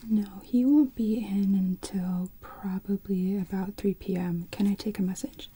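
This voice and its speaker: A woman whispering softly in an ASMR roleplay as a polite hospital receptionist answering phones. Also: medium pitch, fairly even intonation, a little slow, breathy edge. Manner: soft, mostly unvoiced whisper; polite phone-desk manner.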